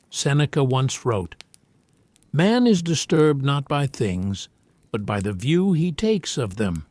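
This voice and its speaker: A man narrates a scripted guided sleep meditation in a calm, measured, solemn way. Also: fairly high pitch.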